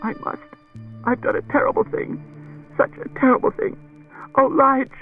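A man voicing a frightened, tearful wife, breathy and quavering, pleading in halting bursts as in an audiobook. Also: high-pitched, muffled recording, soft background music, pitched above the performer's own voice. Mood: sobbing, anguished. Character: distraught tearful woman, frightened wife confessing.

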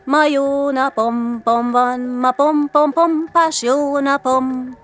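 A woman voicing an excitable, chattering little furball creature in a children's story, gabbling nervously and emphatically. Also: fairly high pitch, soft background music, lightly acted. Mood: happy humming.

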